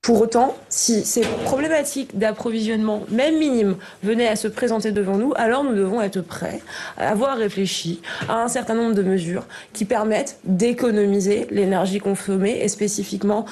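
A woman speaking as a spokesperson, delivering a formal statement in a measured, official manner. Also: medium pitch, mellow, a little hiss or hum. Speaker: government spokeswoman.

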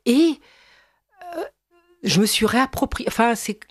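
A woman's voice: on the high side, lively intonation, measured pace, no echo. A woman singer being interviewed, talking hesitantly with frequent restarts and eager repeated agreement. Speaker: singer, guest. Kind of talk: radio interview.

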